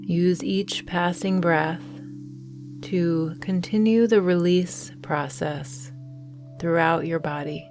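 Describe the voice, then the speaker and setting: A woman guiding a meditation in a gentle, soothing manner, with deliberate phrasing and long pauses. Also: fairly low pitch, fairly even intonation, music in the background. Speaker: meditation guide and host. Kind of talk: guided morning meditation.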